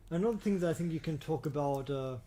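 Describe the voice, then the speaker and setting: A man co-hosting a casual podcast discussion, talking in a halting, hesitant way and often repeating himself. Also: on the high side, crowd noise. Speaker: podcast co-host.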